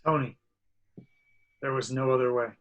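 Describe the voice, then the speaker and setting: A woman's voice: rumbling low, steady tone, normal speed, lo-fi sound. A woman acting out film script lines in an amateur table read, on a noisy home recording.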